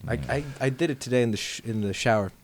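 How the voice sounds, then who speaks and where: A man's voice: medium pitch, very fast, a little hiss or hum. A man from Norway co-hosting a casual chat, joking, sarcastic and swearing. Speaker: co-host.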